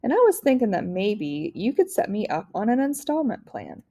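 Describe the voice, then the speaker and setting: An eager storybook girl, played by a woman, sincere and pleading in her folksy way. The voice is mellow, a little raised from plain narration. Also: a little high, wildly swooping pitch, normal speed, voice pushed up, full character voice. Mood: earnest pleading. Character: earnest, eager young Southern preacher's daughter. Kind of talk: storybook read-aloud character voice.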